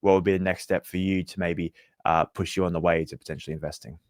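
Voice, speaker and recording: A man's voice: sits low, steady tone, fast talker, no room sound, studio quality. A man interviewing a guest conversationally, feeling his way into questions and rephrasing them as he goes. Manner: tentative, hedging, rephrases questions.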